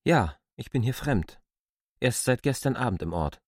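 An actor playing a polite but persistent newcomer to a village in a literary radio play. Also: male voice, mid-range voice, expressive, moderate pace, no echo, clean studio sound, natural voice. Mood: probing, puzzled.

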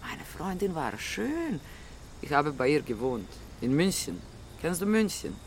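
A dreamy homeless man in a radio drama, kinda croaky, hunts for words, wistful. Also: on the high side, sing-song, slight background noise. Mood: nostalgic. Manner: halting, gropes for words, dreamy. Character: homeless deported man in Rome, wistful, nostalgic for Germany.

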